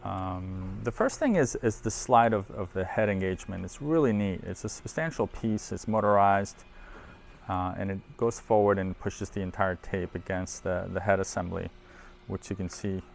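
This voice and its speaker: A man's voice: low voice, moderate pace, gravelly, slight background noise. A man presenting audio equipment in a casual hands-on demo, informal and thinking aloud as he handles the machine.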